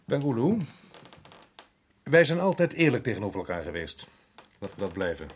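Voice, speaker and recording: An actor playing a stern, principled Dutch colonial official in a radio drama, formal and probing, with a husky voice. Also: male voice, fairly low pitch, sing-song, brisk. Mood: firm, accusing.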